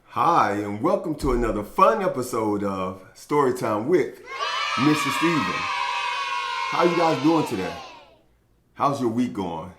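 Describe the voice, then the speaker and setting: A man hosting a children's storytime, speaking to young listeners in an encouraging, expressive way as he chats and reads aloud. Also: medium pitch, a little slow, background noise. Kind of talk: children's read-aloud and upbeat chat to young viewers.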